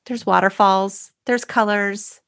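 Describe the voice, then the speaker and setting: A woman's voice: medium pitch, fairly even intonation, slow pace, gravelly, fairly bright tone, clear, resonant tone. A middle-aged woman chatting casually as the co-host of a podcast. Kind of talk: casual chat.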